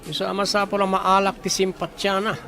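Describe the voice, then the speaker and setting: Male actor as sly, grudge-holding villain. Ilocano accent, high-pitched with heavy fry, quietly menacing drama delivery. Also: level tone, quick pace, some chatter behind, heavily acted. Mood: sly, scheming. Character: scheming, vengeful male friend hiding a grudge. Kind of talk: Ilocano audio drama acting.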